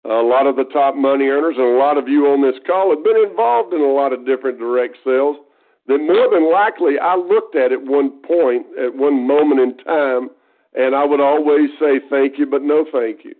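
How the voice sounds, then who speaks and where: A folksy man in his fifties with an East Texas drawl speaks with earnest conviction, often circling back to restart a phrase. His voice sits at an ordinary middle pitch for a man. It comes through a thin, tinny phone line. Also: normal speed. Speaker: guest speaker. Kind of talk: conference call talk. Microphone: telephone.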